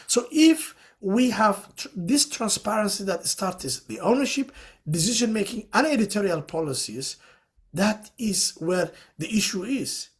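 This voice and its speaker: A man's voice: sits high, lively intonation, measured pace. A man from Somalia, speaking as a panelist in a webinar discussion. He explains his points earnestly and fills his sentences with "you know".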